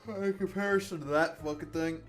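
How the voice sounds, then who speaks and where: A man's voice: on the high side, steady tone, brisk, faint music behind. Profane rambling male game streamer. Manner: profane, rambling, self-interrupting. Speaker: gaming streamer. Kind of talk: casual gameplay commentary.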